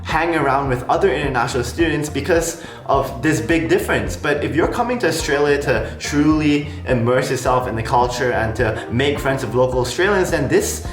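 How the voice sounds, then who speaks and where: A young man from Malaysia talks to camera in a slight American accent, chatty and frank, with lots of "like" and "honestly." His voice sits in an ordinary middle pitch. The room has a noticeable echo, and music plays clearly behind him. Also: animated, normal speed. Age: young adult. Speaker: vlogger, international student. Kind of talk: casual talk to camera.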